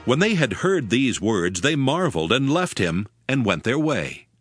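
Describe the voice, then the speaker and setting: Male narrator, formal scripture reading, measured phrases, lively intonation, mid-range voice, soft music behind. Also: mid-range voice, no room sound, studio quality.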